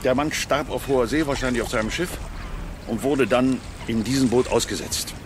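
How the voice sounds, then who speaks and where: An actor playing a pompous, self-assured police detective sergeant in a radio play, curt and officious. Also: male voice, medium pitch, normal speed, natural voice. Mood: smug, officious. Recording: noisy waterside sound effects behind.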